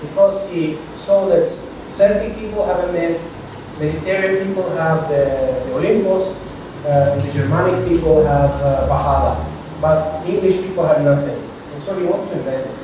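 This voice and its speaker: A man's voice: a little high, moderate pace, background noise. A man whose first language is Italian, giving a university lecture to a seminar audience, explaining step by step and often checking in with "okay?". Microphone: distant from the recording mic. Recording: very echoey room recorded from far off.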